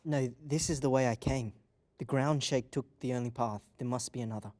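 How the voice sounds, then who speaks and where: A man's voice: medium pitch, a little fast, a little mellow, natural voice. A man playing a serious, reserved traveller in a fantasy table read.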